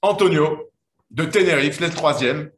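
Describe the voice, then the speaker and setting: A man's voice: a little high, measured pace, a bit bright, reverberant, a little hiss or hum. A man hosting an online quiz final, interviewing the winners in a friendly, hesitant way with many fillers. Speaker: host.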